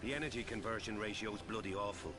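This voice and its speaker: A man's voice: lowish voice, quick pace, music in the background, natural voice. A man playing a blunt, informal airship mechanic in a game cutscene. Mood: matter-of-fact. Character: blunt, plain-spoken male airship mechanic. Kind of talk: scripted game cutscene acting.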